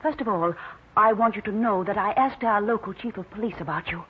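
A woman's voice: mid-range voice, a little fast, breathy edge, close to an everyday voice. An actress playing a poised, earnest wife in her forties who speaks with formal politeness in an old-time radio drama. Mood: earnest, urgent. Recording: tinny, faintly noisy vintage radio recording.

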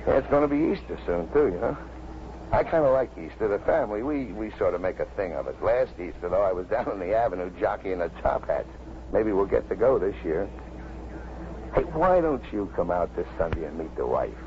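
An actor playing a kindly, plainspoken New York policeman and family man in a 1950s radio drama, talking in a folksy, halting way. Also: deep voice, big pitch swings. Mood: fond, reflective. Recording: muffled, noisy 1950s radio recording.

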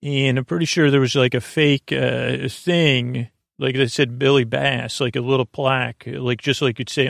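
A man hosting a bedtime podcast in a meandering, hesitant monologue that drifts off topic, with a gravelly, creaky voice. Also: medium pitch, dry recording, clean studio sound. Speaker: podcast host.

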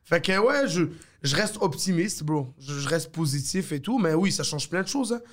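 A man's voice: on the high side, animated, normal speed. Laid-back Moroccan-accented comedian, man. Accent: Moroccan French. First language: Arabic. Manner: laid-back, upbeat, peppers speech with "bro" and "tu comprends". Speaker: comedian guest. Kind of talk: casual chat.

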